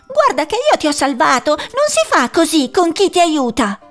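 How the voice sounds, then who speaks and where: A woman's voice: high-pitched, sing-song, normal speed, voice pushed up. A woman voicing a kind, sensible young female owlet in a storybook. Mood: indignant.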